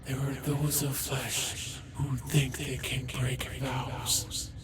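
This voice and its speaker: An ancient, scornful spirit played by a man in an audio drama, whispering in solemn, archaic phrases. The delivery is extremely slow and breathy, half submerged in loud, noisy ambience. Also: medium pitch, level tone, natural voice. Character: ancient haughty disembodied spirit, scornful of flesh.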